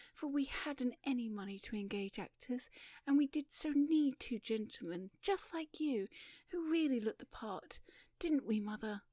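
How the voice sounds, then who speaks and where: A shy, polite young lady from an audiobook story, voiced by a woman narrator. She speaks high and breathy, earnest and eager to explain. The sound is close and dry. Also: darkish tone, dry recording, natural voice.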